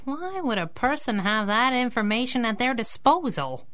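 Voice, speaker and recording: Sardonic crimefighting gal, bright punchy voice with lively swings, old-time radio adventure. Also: female voice, fairly high pitch, normal speed. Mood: wry. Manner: sassy, sardonic, slangy. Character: wisecracking, tough young female superhero sidekick. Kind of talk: 1930s-style radio drama acting.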